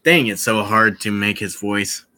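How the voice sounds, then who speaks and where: A gaming host doing a casual let's play, reading visual novel text aloud in a theatrical, halting way and repeating words. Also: low voice, sharp tone. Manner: theatrical, puts on voices while reading.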